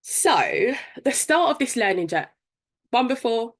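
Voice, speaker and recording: Bunged-up woman with a gravelly edge. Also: on the high side, very animated, unhurried. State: congested from a cold. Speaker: guest speaker. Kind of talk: webinar presentation.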